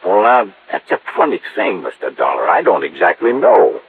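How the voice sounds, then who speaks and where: An actor playing a slow-witted, eager-to-please handyman with a folksy, rambling, deferential manner in an old radio drama. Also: sits low, wildly swooping pitch, heavily acted. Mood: earnest, boastful. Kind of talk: old-time radio acting. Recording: muffled, noisy vintage radio recording.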